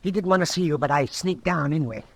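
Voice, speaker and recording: An actor playing a plainspoken, wry frontier town doctor with an American accent in an old-time radio western. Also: male voice, fairly high pitch, quick pace, gravelly, fairly bright tone, dry recording. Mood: urgent, confiding.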